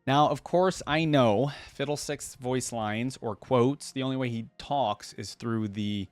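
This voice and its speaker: A man's voice: mid-range voice, unhurried, clear, resonant tone, dry recording, faint music behind. A man hosting a reaction channel, talking conversationally and thinking aloud between stretches of reading lore. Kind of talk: casual reaction commentary with read-aloud lore.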